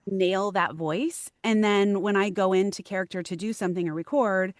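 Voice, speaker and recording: A woman's voice: mid-range voice, fairly even intonation, moderate pace, dry recording, clean studio sound. A woman voiceover actress, a podcast guest, talking through her craft in casual interview chat, thoughtful, with many ums.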